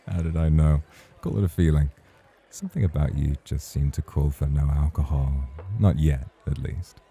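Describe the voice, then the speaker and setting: Guy acting a super deep dark velvety club host, husky, smug n courtly, teasing u in an asmr roleplay. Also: moderate pace, exaggerated voice. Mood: teasing, probing. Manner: smooth, courtly, playfully provocative. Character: suave, teasing, self-assured champion nightclub host.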